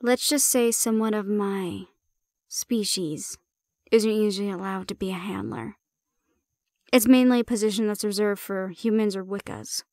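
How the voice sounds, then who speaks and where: A woman's voice: mid-range voice, normal speed, darkish tone, dry recording, studio quality, natural voice. A woman playing a cocky, unafraid female handler in an audio roleplay, with a blunt, teasing, assertive manner. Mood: firm, challenging. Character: bold, cocky non-human female handler, sardonic and unafraid.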